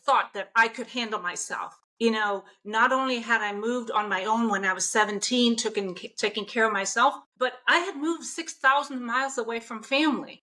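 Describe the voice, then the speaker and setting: A woman's voice: fairly high pitch, moderate pace, few pauses, sharp tone. A middle-aged woman from Denmark with a Danish accent, talking earnestly and with enthusiasm as a guest on a business interview podcast. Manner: earnest, enthusiastic storytelling with self-quotes. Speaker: guest, mindset coach.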